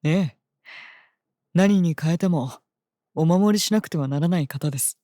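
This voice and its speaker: An actor playing a courteous, unnervingly calm guardian of a princess in a fantasy audio drama, speaking formally and precisely. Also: male voice, on the high side, moderate pace, dry recording, clean studio sound, close to an everyday voice. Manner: formal, gentle, precise polite speech.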